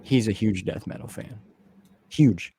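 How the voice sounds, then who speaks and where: Casual man in his late thirties, lowish voice. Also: unhurried, gravelly, mellow, slight background noise. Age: late 30s. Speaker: interviewer and show host. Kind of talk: casual interview chat.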